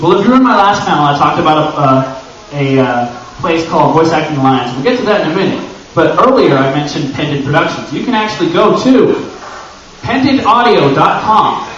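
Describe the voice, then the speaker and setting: A man giving an informal, joking panel talk to a live audience, animated and given to acting out little imagined exchanges. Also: fairly high pitch. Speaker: convention panel presenter and amateur voice actor. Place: indoor convention panel room. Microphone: camera microphone at a distance. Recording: echoey room, distant mic, dull noisy audio.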